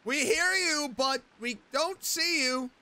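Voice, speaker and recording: A woman's voice: sits high, slow pace, over music. A woman streaming a video game, commenting casually and reading along as she plays.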